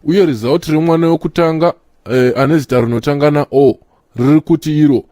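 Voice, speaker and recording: A man's voice: a little high, fairly quick, warm tone, no echo, clean studio sound, natural voice. A man with a Zimbabwean accent playing a polite, hesitant clinic official who mediates in a comedy cartoon. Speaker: presenter of test results. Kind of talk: comedy cartoon acting, formal interview manner.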